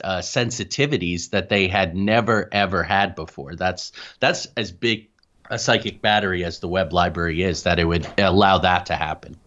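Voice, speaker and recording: Guy answering interview questions, kinda deep voice, careful detailed explanations, dull recording. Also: a bit bright. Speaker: interview guest, paranormal investigator.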